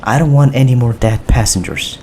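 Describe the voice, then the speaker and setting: A man voicing a firm, commanding female Prime Minister in an audiobook, speaking with measured authority. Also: medium pitch, warm tone, voice pushed up, lightly acted. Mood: decisive. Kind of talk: audiobook character dialogue.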